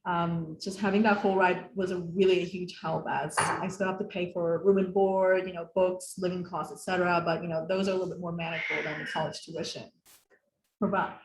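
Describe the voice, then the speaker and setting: A woman's voice: on the low side, little pitch movement, a little fast, echoey room, noisy background. A young woman from the Philadelphia area hosting an online workshop on a video call, talking casually with many fillers. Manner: casual, friendly, with frequent fillers. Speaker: host.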